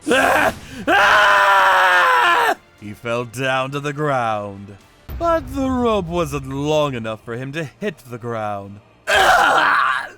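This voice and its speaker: A man's voice: mid-range voice, sing-song, slow pace, a bit bright, faint music behind. A man narrating a manga story in the first person with a theatrical, cocky delivery.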